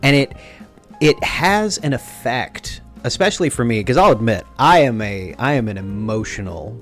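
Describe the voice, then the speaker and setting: An earnest young man from rural Texas, speaking in a middle-range voice with open feeling. He hosts a relaxed conversation, and a faint bed of music sits under his voice. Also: medium pitch, normal speed. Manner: earnest, emotional, talks with his hands. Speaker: podcast host. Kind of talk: casual conversation.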